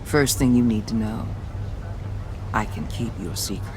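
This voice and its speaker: An actor playing a cool, authoritative government handler in a video game, deliberate, controlled and insinuating. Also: male voice, lowish voice, a little hiss or hum, close to an everyday voice. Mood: quiet pressure. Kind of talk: dramatic game voice acting.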